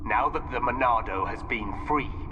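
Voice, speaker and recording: An actor playing a cold, calculating, imperious mastermind in a video game, musing formally and deliberately. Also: male voice, mid-range voice, muffled recording, lightly acted. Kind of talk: video game villain monologue. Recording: long cavernous reverb, background noise.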